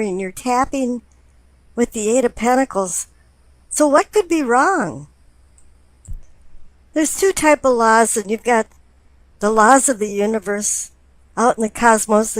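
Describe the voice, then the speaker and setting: A woman with a fairly high voice reads tarot for her listener. She explains things deliberately, setting out one phrase after another. The recording is dry and close, with little room sound. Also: dry recording, low-quality recording. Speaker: tarot reader. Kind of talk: spoken tarot card reading.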